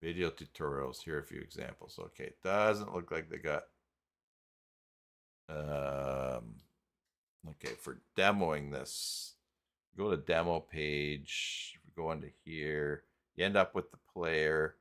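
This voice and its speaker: A man with a low voice hosts an informal live tutorial. He talks casually to his viewers and works things out aloud, in a halting, unscripted way. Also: a bit bright. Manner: halting, thinking aloud while clicking around. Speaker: live stream host. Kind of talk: casual live tutorial talk, reading chat.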